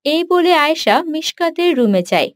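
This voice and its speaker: A woman's voice: high-pitched, sing-song, normal speed, no room sound, clean studio sound. A woman narrating a scripted children's story as the narrator.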